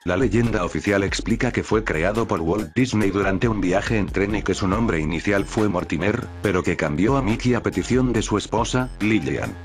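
A man's voice: on the low side, moderate pace, few pauses. A man reading scripted narration as the narrator of a countdown video. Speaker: narrator of a top list video. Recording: music behind the voice. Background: background music.

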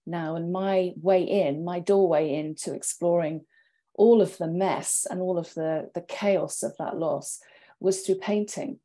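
A woman in her fifties speaking as a panelist in a deliberate, reflective way, pausing between phrases. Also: on the low side, fairly even intonation, dark tone. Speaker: therapist, panelist. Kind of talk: measured reflective talk. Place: home office, indoors. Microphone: wired earphones, video call.

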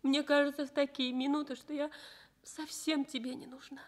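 A woman's voice: high-pitched, level tone, normal speed, close to an everyday voice. An actress playing a devoted, anxious young nurse caring for her sick husband in a Soviet radio drama. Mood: tender, consoling.

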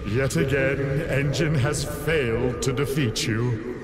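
An actor voicing a whiny, sarcastic, self-pitying evil mad scientist in a video game, nasal and theatrical. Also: male voice, medium pitch, slow pace, lightly acted. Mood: weary scheming. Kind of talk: video game cutscene villain. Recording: voice under loud music.

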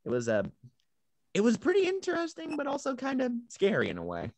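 A man's voice: extremely high pitch, huge pitch swings, fairly quick, a little mellow, a little hiss or hum. A young man reflecting casually as a guest actor on a video call. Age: young adult. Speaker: amateur actor, panel guest.

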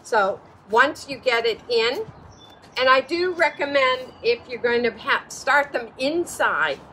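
A woman's voice: sits high, lively intonation, takes it slowly, sharp tone. A woman in her sixties showing young children how to garden, encouraging them with praise and simple instructions. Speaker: children's storytime host. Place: outdoors at a table in the wind. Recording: breezy outdoor noise, birds.

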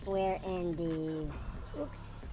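Low-voiced woman streaming a game. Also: little pitch movement, very slow, warm tone, soft background music. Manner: upbeat, exclaims often. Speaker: streamer hosting a game with fans. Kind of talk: casual gaming chat.

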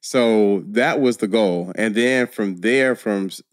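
Guest actor, thirties, low-ish voice, animated storyteller, dry studio sound. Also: male voice, slow pace, no room sound. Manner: animated storyteller, laughs often. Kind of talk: casual interview chat. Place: podcast studio. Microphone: studio mic on boom arm, headphones.

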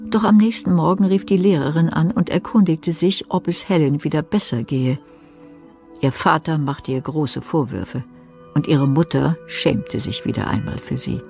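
Low dark-voiced lady narrating a radio drama, bit of music behind. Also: moderate pace, vocal fry. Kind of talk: scripted radio play narration.

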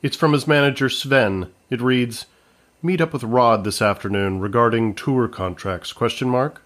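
Measured male comedy narrator. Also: mid-range voice, a bit bright.

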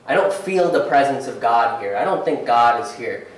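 A man in his thirties tells a stand-up story with a lively, swooping voice, jumping up high for the lines he acts out. He is heard from a distance through a handheld mic in a very echoey hall. Faint room sound sits behind him. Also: normal speed. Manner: animated storytelling, acting out quotes with big pitch jumps. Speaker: stand-up comedian. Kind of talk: stand-up comedy set. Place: theater stage in front of a curtain, live audience. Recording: roomy hall audio from a distance.

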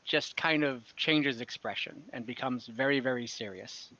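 A young man running an online tabletop game as game master, narrating in a relaxed way with fillers over a headset on a video call. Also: mid-range voice, normal speed. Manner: relaxed, thinking aloud with fillers. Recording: dull lo-fi video call audio.

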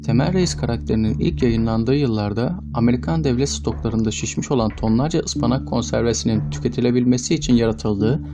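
A man narrates from a script. His delivery is formal and measured. Music plays clearly underneath. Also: medium pitch. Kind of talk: scripted narration of trivia video. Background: background music.

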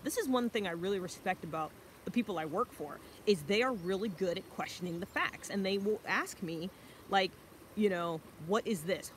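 A woman's voice: fairly low pitch, fairly bright tone. A young woman giving a casual, self-reflective explanation to camera in an informal, conversational way. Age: young adult. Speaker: vlogger. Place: outdoors by a river among trees. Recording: noisy outdoor ambience.

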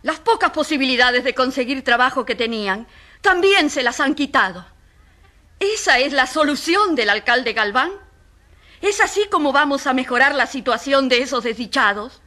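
A woman's voice: high-pitched, animated, normal speed, bright, forceful tone. An actress in a radio drama playing a passionate, indignant woman who defends the poor, speaking emphatically in repeated, building lists. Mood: bitter, indignant.

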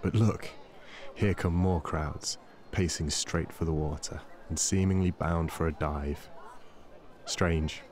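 A man with a husky voice reads a literary novel as an audiobook narrator, in a measured, dramatic storytelling manner. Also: extremely low pitch, steady tone, a little mellow. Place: dry studio voice-over recording.

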